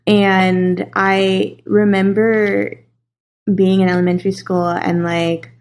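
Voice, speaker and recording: A woman's voice: medium pitch, level tone, slow. A young woman from California chatting as an interview guest, talking loosely with many fillers and frequent laughs. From California, USA. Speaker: casting and voice director, guest. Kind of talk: casual interview chat.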